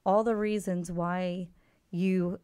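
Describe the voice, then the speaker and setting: Woman therapist guest, lower voice, smooth, dry close sound, reflective with frequent ums. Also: level tone, takes it slowly, mellow, dry recording. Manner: thoughtful, restarts sentences with many ums. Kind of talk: podcast conversation.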